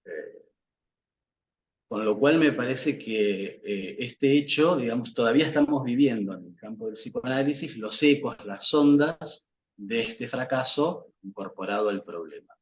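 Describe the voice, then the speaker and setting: A man's voice: mid-range voice, expressive, measured pace. A man whose first language is Portuguese, giving a reflective academic talk as a psychoanalyst guest, hesitant, with many fillers and restarts. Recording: dull lo-fi sound with faint noise.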